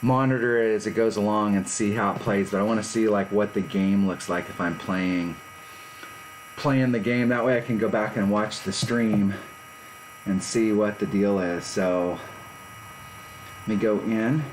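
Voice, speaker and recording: A man's voice: lowish voice, fairly even intonation, a little slow, a little hiss or hum, rough recording. A man in his fifties chatting casually and rambling on a live stream from his garage. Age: 50s. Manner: rambling, laid-back, self-deprecating. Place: home garage.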